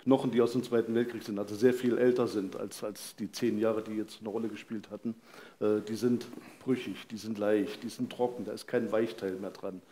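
Older man, mellow voice in a roomy space. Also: lowish voice, fairly even intonation, brisk. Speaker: forensic pathologist guest. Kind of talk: explaining casework in a live talk. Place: vaulted venue, live audience on stage. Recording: roomy live stage sound, faint noise.

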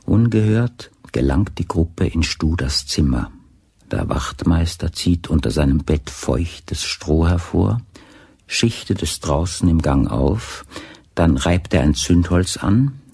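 A man narrating a crime radio play in a deliberate, literary storytelling manner. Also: low voice, gravelly, darkish tone, husky, no echo, exaggerated voice. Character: measured, observant male narrator of a crime story.

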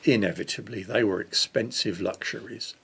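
A man in his sixties reading a devotional book aloud in a measured way. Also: on the low side, steady tone, creaky, fairly bright tone, breathy edge. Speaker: reader of a church Lent devotional.

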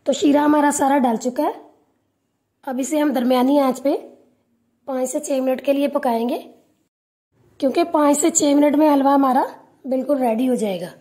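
A woman hosting a cooking channel, calmly giving step-by-step instructions in a tutorial voice-over. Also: sits high, moderate pace, pauses often.

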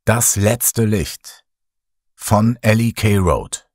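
A man reading a horror story aloud in slow, hushed, dramatic narration. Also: fairly low pitch, no echo, clean studio sound. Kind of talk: scripted horror audiobook narration.

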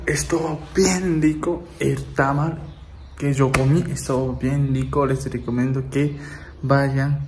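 Young Japanese-accented man vlogging slowly on the street. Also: fairly high pitch, darkish tone. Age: young adult. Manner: chatty, repeats words, addresses friends. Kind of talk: casual vlog chat. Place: city street outdoors, then indoors at a table. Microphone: wireless earbuds, phone at arm's length. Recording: street noise behind.

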